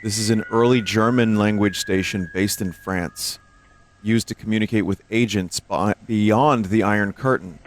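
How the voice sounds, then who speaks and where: A man's voice: lowish voice, fairly even intonation, normal speed, slight background noise. A man hosting a livestream, reading text aloud and commenting casually. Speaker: streamer and host.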